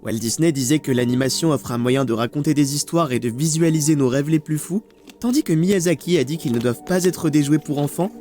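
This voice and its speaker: Higher-voiced man narrating a dramatic documentary. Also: fairly quick, dark tone, faint music behind. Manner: articulate, dramatic, addresses the audience with questions. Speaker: narrator of a video documentary series. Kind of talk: scripted storytelling narration.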